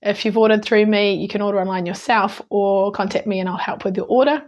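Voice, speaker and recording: A hoarse woman with a New Zealand accent talks through a stamping and card-making demo. Her speech is chatty and relaxed, sprinkled with ums and quick corrections as she works. Her croaky voice holds a steady middle pitch. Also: highs cut off. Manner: chatty, with frequent ums and small slips. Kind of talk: casual crafting tutorial.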